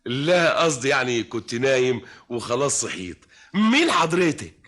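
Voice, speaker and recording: An actor playing a meek, bumbling male office clerk in an old Egyptian radio comedy, stammering and animated with an Egyptian accent. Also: mid-range voice, moderate pace. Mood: puzzled, eager.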